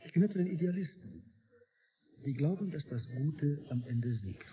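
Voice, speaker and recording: An actor playing a bookish, idealistic male historian in a radio play, with a measured, gently condescending lecturing manner. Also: a little high, big pitch swings, crowd noise. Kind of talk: German radio play dialogue. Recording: muffled, noisy old radio drama recording.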